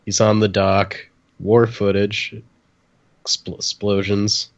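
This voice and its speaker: A man chatting casually and jokingly about films as a podcast guest, in a halting, hesitant way. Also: fairly low pitch, level tone, muffled recording. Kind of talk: casual joking film chat.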